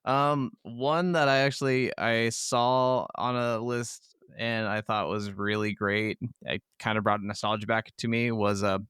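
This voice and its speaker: A man's voice: medium pitch, steady tone, a little slow, hardly any pauses, a bit bright, smooth, no room sound. A young man with a mid-range, very clean voice, recorded close and dry. He chats casually as a gaming podcast co-host, readily agreeing and keeping things conversational.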